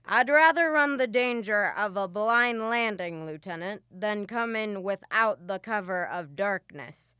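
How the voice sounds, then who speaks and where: A woman's voice: medium pitch, expressive, unhurried, few pauses, bright, forceful tone, clean tone, no room sound, clean studio sound. A woman playing a stern, commanding spaceship navy captain in a student radio drama, giving clipped orders. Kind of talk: old-time radio drama acting.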